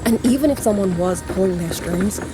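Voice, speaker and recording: A woman voicing a solemn, dutiful military commander in a video game, with grave, deliberate and formal delivery. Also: medium pitch, lightly acted, poor audio. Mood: grave, disbelieving. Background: prominent game music.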